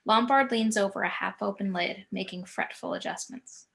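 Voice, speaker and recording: A woman's voice: medium pitch, normal speed, vocal fry, fairly bright tone. A woman narrating scene directions for a screenplay table read, occasionally stumbling over words.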